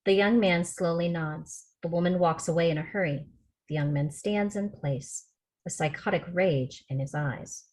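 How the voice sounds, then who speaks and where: A woman's voice: lowish voice, moderate pace, darkish tone. A woman reading stage directions as the narrator at a film script table read. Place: remote video call rehearsal.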